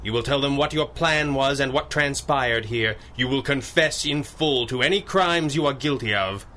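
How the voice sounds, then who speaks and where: An actor playing a stern masked vigilante in radio adventure style, speaking in formal, commanding phrases. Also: male voice, medium pitch, normal speed, pushed and bright, a little hiss or hum, lightly acted. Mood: stern, menacing. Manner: commanding, formal, clipped interrogation.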